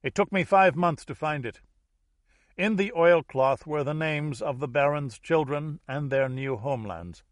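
A man voicing a formal, self-assured Dutch heir who addresses his relatives in a deliberate, commanding way, as in an audiobook thriller. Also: fairly high pitch, no echo, clean studio sound, pitched above the performer's own voice, natural voice.

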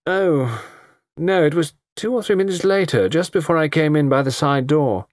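A man's voice: fairly high pitch, lively intonation, moderate pace, darkish tone, dry recording, studio quality, pitched above the performer's own voice, lightly acted. A man voicing a cool, haughty young woman who answers curtly. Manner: cool, curt, clipped replies. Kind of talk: audiobook character voice.

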